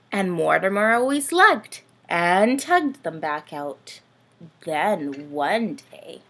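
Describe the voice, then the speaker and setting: Female children's story reader: expressive and dramatic, slow delivery, husky voice with some fry. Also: medium pitch. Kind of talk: expressive read-aloud of a picture book.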